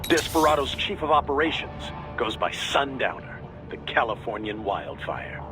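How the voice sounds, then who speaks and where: An actor playing a businesslike intelligence support officer giving a briefing in a video game. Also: male voice, mid-range voice, sing-song, moderate pace, close to an everyday voice. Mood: serious. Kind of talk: video game radio dialogue. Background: loud music.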